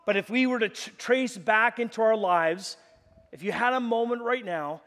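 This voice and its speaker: A man preaching a sermon in a church, with a loud, animated delivery and frequent restarts. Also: high-pitched, moderate pace. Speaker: pastor. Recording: long hall reverb.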